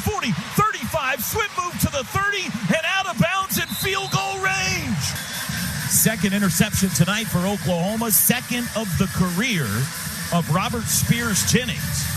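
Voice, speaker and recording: A high-voiced man calls college football on the radio. He delivers punchy, clipped phrases with urgent excitement, rising to shouts, with music mixed clearly underneath. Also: low-quality recording.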